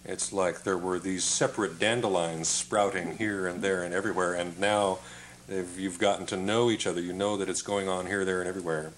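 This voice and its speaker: An older man hosting a studio interview who speaks slowly and encouragingly and sums up his guests' points. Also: low-pitched, fairly even intonation, a bit bright, a little hiss or hum. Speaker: TV interviewer, host. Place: TV studio set, indoors.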